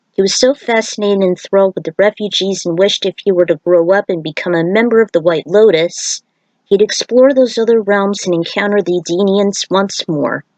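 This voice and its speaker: A woman's voice: mid-range voice, darkish tone, no room sound, poor audio. A woman reading scripted story narration as a storyteller, recorded close as a voice-over.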